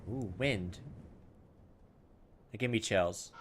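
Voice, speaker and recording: A man doing casual gameplay commentary, thinking aloud in an informal, self-deprecating way and reading game text out loud. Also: lowish voice, slow pace, slight background noise. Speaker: gaming video host.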